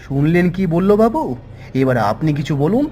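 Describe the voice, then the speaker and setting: A man voicing a loud landlord's henchman, a villain in a cartoon folk tale. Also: a little high, sing-song, fairly quick. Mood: indignant.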